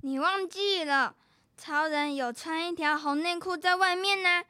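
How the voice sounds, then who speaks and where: A woman's voice: very high-pitched, a little slow, pushed and bright, no room sound, clean studio sound, heavily acted. A young girl acting in a children's radio drama as a bossy, scheming big sister. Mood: coaxing. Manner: bossy, coaxing.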